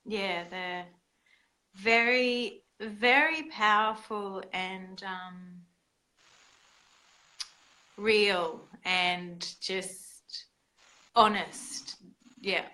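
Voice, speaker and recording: A woman hosting a casual conversation, soft-spoken and hesitant, with many fillers. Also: mid-range voice, fairly even intonation, very slow, pauses often, low-quality recording. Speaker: host.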